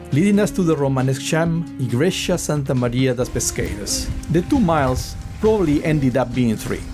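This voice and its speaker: A man with an Argentine accent giving a measured, scripted voice-over for a travel vlog. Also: medium pitch. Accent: Argentine Spanish. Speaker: travel vlogger. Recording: voice-over with guitar music behind. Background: guitar music.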